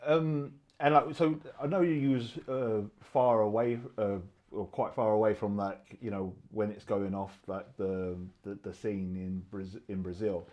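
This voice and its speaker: A man's voice: lowish voice, steady tone, unhurried, few pauses, warm tone, muffled recording. Casual male interviewer, loose phrasing. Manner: informal, many backchannels and fillers.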